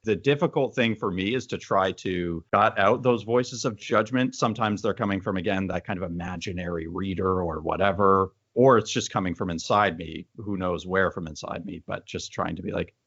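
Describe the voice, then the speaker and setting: Man, very low pitch, casual interviewer, candid, thinking aloud, dry close sound, slightly lo-fi. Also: moderate pace, hardly any pauses, fairly bright tone, slightly rough, no room sound. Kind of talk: casual reflective conversation. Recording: dull, dry lo-fi audio.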